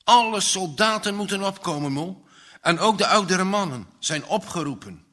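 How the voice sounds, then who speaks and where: Man voicing an eager young boy, raised pitch, bright forceful tone, urgent storybook character. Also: animated, normal speed. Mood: breathless urgency. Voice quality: voice cracking. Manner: breathless, insistent, shouting out news. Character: excited eleven-year-old boy bursting with alarming news.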